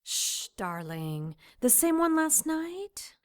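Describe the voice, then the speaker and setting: A woman playing an orphanage caretaker in an audio drama, motherly and hushing but anxious and urgent. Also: high-pitched, sing-song, takes it slowly, heavily acted. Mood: soothing.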